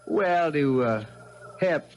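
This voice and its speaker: An actor playing a scheming, falsely pious village villain with a folksy manner in a 1970s radio mystery drama. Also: male voice, a little high, animated, slow pace, a little hiss or hum. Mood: slyly polite.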